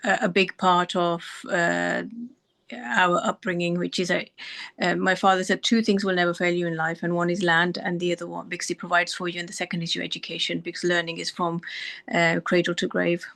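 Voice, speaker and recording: A woman's voice: lowish voice, flat delivery, fairly quick, creaky, a bit bright. A middle-aged woman doctor from Pakistan, answering interview questions thoughtfully with frequent restarts. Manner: thoughtful, many restarts and fillers. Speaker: doctor guest.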